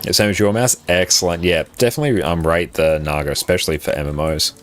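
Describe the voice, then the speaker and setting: A man with a very low voice, chatting casually and rambling with many fillers as he answers viewers on a live game stream, recorded on a compressed condenser mic at a home setup. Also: fairly even intonation, quick pace, background noise. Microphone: condenser mic on an arm, compressed.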